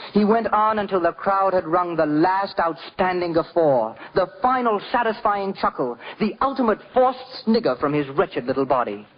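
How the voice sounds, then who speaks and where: Old radio drama narrator guy, solemn and theatrical, very animated, muffled 1950s recording with loud music behind. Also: a little high. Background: prominent music.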